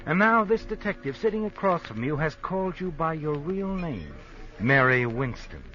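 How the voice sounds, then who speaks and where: An actor playing an ironic, knowing narrator of a 1950s radio suspense drama, taunting and deliberate. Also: male voice, a little high, big pitch swings, pushed and bright. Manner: taunting, deliberate, addresses the character as you. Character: ironic, knowing male narrator addressing a guilty woman. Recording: muffled old radio broadcast with music. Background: music.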